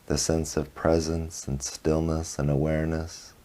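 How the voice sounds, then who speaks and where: A man guiding a meditation in a very low, mellow voice. He speaks slowly and flatly, with little rise and fall, in short phrases. Long pauses separate them. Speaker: meditation teacher. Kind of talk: guided meditation.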